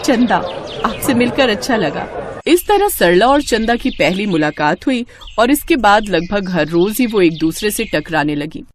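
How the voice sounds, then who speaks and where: A woman's voice: mid-range voice, expressive, moderate pace, hardly any pauses, slight background noise. A woman reading scripted moral story narration as the storyteller.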